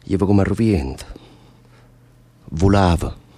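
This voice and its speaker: A man's voice: deep voice, slow, dark tone, husky, full character voice. Male prisoner, Neapolitan accent, volatile and lovesick, impassioned rambling storyteller for radio theatre. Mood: amused reminiscing. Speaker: stage actor. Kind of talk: radio theatre dialogue in dialect.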